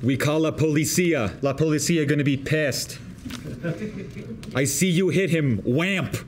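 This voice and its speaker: An actor at a table read playing a cocky, belligerent young skateboarder with slangy slacker delivery. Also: male voice, on the high side, unhurried, natural voice. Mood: threatening. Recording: noisy table read room.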